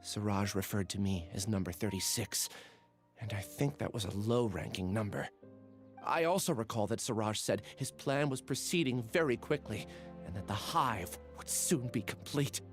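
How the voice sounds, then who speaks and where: A man's voice: lowish voice, moderate pace, soft background music, natural voice. An actor playing an earnest, troubled young man in a video game. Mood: troubled recollection. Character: earnest, troubled young male test subject.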